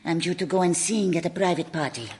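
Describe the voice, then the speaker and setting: An actress playing a grand, carefree, flirtatious diva singer in a radio sitcom. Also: female voice, lowish voice, fast, darkish tone, lightly acted. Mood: breezy, dismissive.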